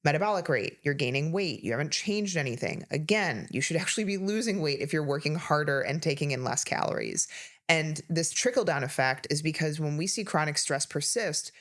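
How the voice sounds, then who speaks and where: A woman in her thirties co-hosting a health podcast, talking casually and listing symptoms rapidly with emphasis. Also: low-pitched, lively intonation, fairly bright tone. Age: 30s. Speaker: podcast co-host, health coach.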